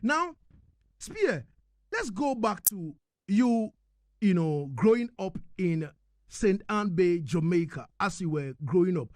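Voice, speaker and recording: A man hosting a live radio interview, deliberate and repeating words for emphasis. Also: high-pitched, sing-song, a little mellow, no echo. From Ghana. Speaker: radio host.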